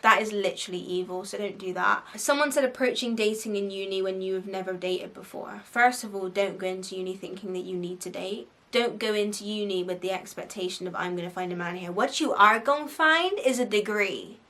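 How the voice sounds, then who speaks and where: A woman's voice: medium pitch, fairly quick, few pauses, bright, forceful tone. A young woman with a Bristolian accent, hosting a faith podcast and giving frank, casual advice full of "like" fillers. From Bristol, England. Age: young adult. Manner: chatty, frank, lots of "like" fillers, frequent laughs.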